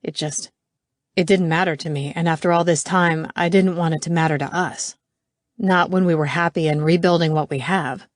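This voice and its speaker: A woman voicing a defensive, regretful young boyfriend in an audiobook, speaking low and clipped. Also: fairly even intonation, normal speed, dry recording, clean studio sound, lightly acted. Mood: tense.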